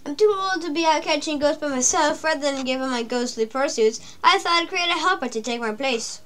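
A woman's voice: sits high, a little fast, sharp tone. A woman reading aloud the lines of an elderly male inventor professor, in her own voice. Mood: chipper. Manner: reading on-screen dialogue aloud.

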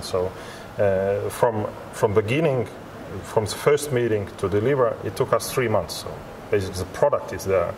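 A man in his forties giving interview answers as a company representative, in halting, repetitive non-native English. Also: fairly low pitch. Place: large glass-walled expo hall, indoors. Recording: clear ambient hum of large venue.

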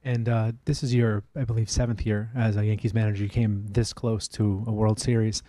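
A male reporter asking a measured question at a press conference. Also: fairly low pitch, little pitch movement, dark tone, no echo.

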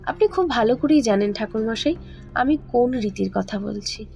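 A woman's voice: a little high, lively intonation, normal speed, vocal fry, warm tone. A woman reading scripted first-person narration for a dramatized audio horror story. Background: faint background music.

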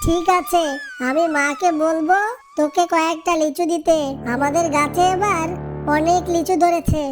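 A woman's voice: very high-pitched, steady tone, measured pace, over music. A woman voicing a friendly, carefree little boy animal cub in a children's cartoon, childlike and cheerful.

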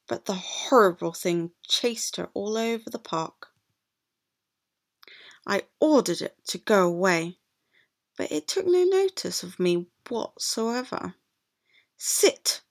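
A woman reading a picture book aloud to children as a teacher, in an animated, deliberate way. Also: mid-range voice, vocal fry. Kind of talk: expressive storybook read-aloud for children.

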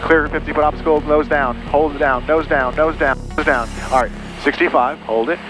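A man's voice: fairly high pitch, fairly even intonation, moderate pace, music in the background, low-quality recording. A man working as a flight instructor, giving short repeated commands and encouragement over cockpit intercom audio.

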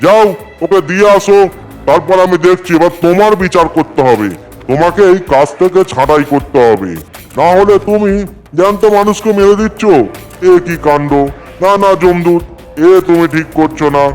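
A man's voice: sits high, moderate pace, darkish tone, faint music behind, close to an everyday voice. A man voicing a stern lord of death in a cartoon, scolding and giving commands over sinners. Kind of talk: Bengali cartoon voice acting.

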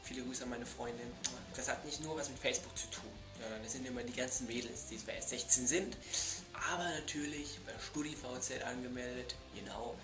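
A man's voice: medium pitch, a little fast, few pauses. A young adult man delivering an emphatic, exasperated comedic vlog rant in a bedroom, repeating himself for effect. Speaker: video blogger. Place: bedroom, indoors. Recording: echoey room, music behind.

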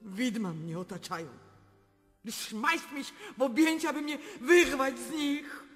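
An actress playing a grieving, imperious empress in a theatrical radio drama. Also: female voice, high voice, a little slow. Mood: anguished lament. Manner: theatrical, mixing languages in lament. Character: grieving, imperious empress mourning her dead favourite. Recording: long reverb, faint music behind.

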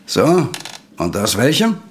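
An actor playing a grumpy, resentful police inspector in a German audio drama, gruff, curt and irritated. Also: male voice, medium pitch, huge pitch swings, slow pace, a little hiss or hum.

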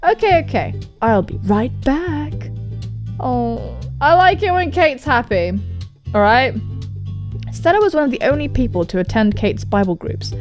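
A young British woman doing game commentary and reading aloud, excitable and sweary, exclaiming often. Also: sits high, a little slow. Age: young adult. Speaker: gaming video host. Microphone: close studio mic. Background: music.

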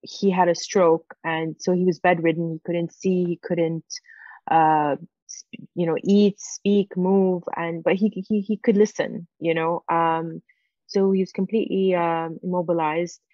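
A woman in her forties, a native Farsi speaker, telling a personal story on a video call with many pauses and fillers. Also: lowish voice, flat delivery, dark tone, no room sound. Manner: halting, many fillers and restarts. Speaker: guest. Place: home room with windows. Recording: dull, dry video-call audio.

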